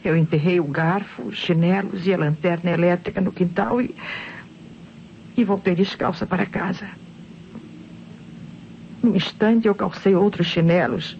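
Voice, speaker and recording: An actress with a Brazilian accent playing a distraught wife confessing to a killing, halting and pleading in old radio drama style. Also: female voice, mid-range voice, a little mellow, lightly acted. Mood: desperate pleading. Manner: halting, pleading, repeats words when faltering. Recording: dull, noisy old radio recording.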